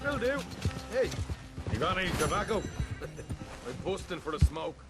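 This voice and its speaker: A man's voice: sits high, sing-song, unhurried, full character voice. An actor playing a brash, cheerful rebel gunman in a western video game, boastful and jaunty in manner. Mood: relaxed, friendly. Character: brash, cheerful male rebel outlaw gunman, cocky and excitable. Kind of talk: video game cutscene acting. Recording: game audio with loud music.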